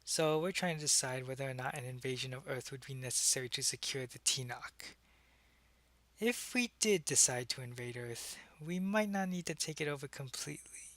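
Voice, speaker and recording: A man's voice: medium pitch, normal speed, a bit bright, dry recording, lightly acted. A man playing an earnest, secretive alien agent in an ASMR roleplay, talking to a human in a hesitant, explanatory way. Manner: hesitant, explanatory, intimate one-sided conversation. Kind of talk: ASMR roleplay, acted monologue to the listener.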